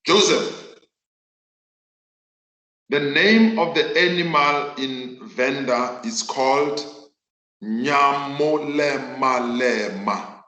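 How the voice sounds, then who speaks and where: A South African man whose mother tongue is Northern Sotho, teaching a virtual class in an emphatic, deliberate way and repeating phrases for effect. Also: medium pitch, bright, forceful tone. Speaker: accounting teacher. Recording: echoey room.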